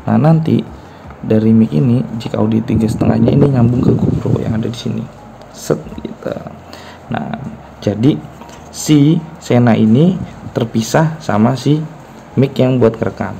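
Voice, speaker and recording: Man, gravelly creak, mid pitch, casual gear demo, conversational, faint ambient noise. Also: unhurried, pauses often. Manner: informal, conversational. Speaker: vlogger demonstrating gear. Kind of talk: casual hands-on demonstration.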